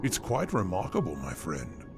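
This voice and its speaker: An actor playing a kindly, stately old monster king in a comic dub, speaking gently and deliberately with courtly manners. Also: low voice, huge pitch swings, creaky, music in the background, full character voice. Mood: gracious, impressed. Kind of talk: fan comic dub voice acting.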